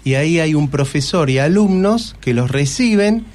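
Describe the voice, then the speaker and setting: A man's voice: on the high side, expressive, moderate pace, a little mellow, soft background music. A man with an Argentine Rioplatense accent speaking informally as a guest, explaining things step by step. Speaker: school staff member, guest. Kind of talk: informal explanatory interview talk.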